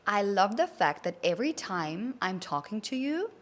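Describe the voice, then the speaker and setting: A woman's voice: mid-range voice, sing-song, normal speed. A woman hosting a podcast, chatting casually and enthusiastically with an effusive, complimentary manner. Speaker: podcast host. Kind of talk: casual enthusiastic interview chat.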